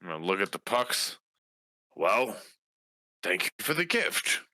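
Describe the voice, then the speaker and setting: Male tabletop player, very sharp bright tone, lowish pitch, halting persuasive improvised chat. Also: big pitch swings, no echo. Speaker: player in a tabletop role-playing game. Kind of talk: improvised in-character dialogue, conversational.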